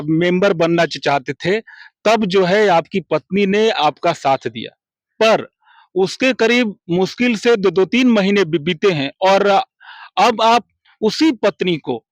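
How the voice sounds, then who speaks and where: Slow, halting man with a high voice. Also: no room sound. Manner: halting, stammering on word starts. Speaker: local man interviewed. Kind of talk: street interview opinion.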